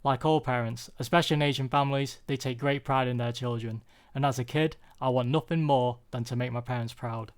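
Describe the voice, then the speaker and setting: A young British man giving a prepared personal talk, earnest and confessional, with deliberate phrasing. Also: medium pitch, steady tone, clean tone. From UK, born there.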